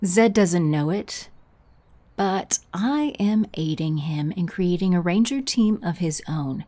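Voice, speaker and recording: Audio drama villainess, acted by a woman: deliberate, authoritative, secretive, widely varied intonation at a slow pace. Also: lowish voice, expressive, warm tone. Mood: cool, scheming. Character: scheming female villain in human disguise.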